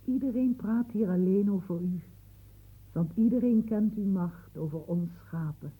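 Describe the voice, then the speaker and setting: A woman's voice: medium pitch, measured pace, breathy edge. A woman playing an earnest, soothing companion to an old marshal in a radio play. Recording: phone-like narrow band.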